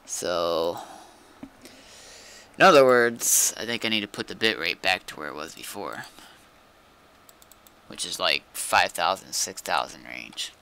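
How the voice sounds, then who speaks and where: A man's voice: low-pitched, expressive, a little slow, gravelly, pauses often, sharp tone, slight background noise. A man who streams games, chatting casually and thinking aloud a little hesitantly as he works. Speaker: game streamer. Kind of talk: casual chat while testing stream settings.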